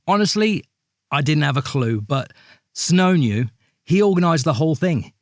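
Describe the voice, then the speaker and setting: A man's voice: fairly high pitch, expressive, moderate pace, dry recording, studio quality, close to an everyday voice. A man playing a friendly, eager cartoon character who chats and gushes as he reminisces in a mock documentary audio drama. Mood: chatty. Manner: chatty, gushing.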